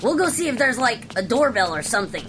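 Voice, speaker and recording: A woman's voice: a little high, very animated, quick pace, fairly bright tone, slight background noise. A woman playing a grumbling male spacefarer, casual and slangy, in an amateur sci-fi radio play. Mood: puzzled, resigned.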